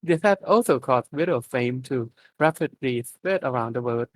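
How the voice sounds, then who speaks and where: A man's voice: medium pitch, normal speed, no room sound. Man steadily reading a fan story. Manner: plain, steady reading with small slips. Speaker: fanfic reader.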